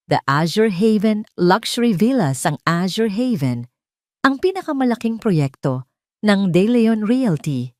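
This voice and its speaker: A Filipino woman storyteller with a slightly low voice, narrating an audio drama from a script. The recording is close and dry, and her delivery is dramatic storytelling. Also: darkish tone, no room sound.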